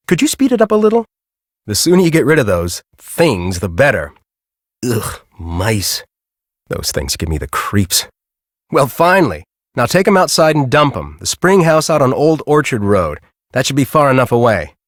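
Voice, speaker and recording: A man's voice: medium pitch, big pitch swings, moderate pace, no echo, clean studio sound, close to an everyday voice. An actor playing a brusque, impatient, secretive man in a video game, with a curt, bossy way of talking. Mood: irritated. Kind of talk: scripted game dialogue.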